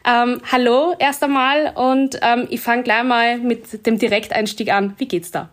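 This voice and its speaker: A woman's voice: on the high side, steady tone, a little slow. A woman hosting a podcast and interviewing a guest over a video call, chatty and enthusiastic. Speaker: podcast host.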